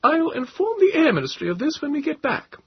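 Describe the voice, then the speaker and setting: A man voicing a brisk, commanding woman army captain in a storybook reading, speaking crisply and with authority. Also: extremely high pitch, big pitch swings, no echo, pitched above the performer's own voice, heavily acted. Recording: dull, dry lo-fi sound.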